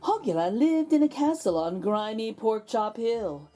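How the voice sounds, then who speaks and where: Slow, expressive woman reading a storybook. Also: a little high, faint music behind. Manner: theatrical, suspenseful emphasis. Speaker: storybook reader, host. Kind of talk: expressive children's read-aloud narration.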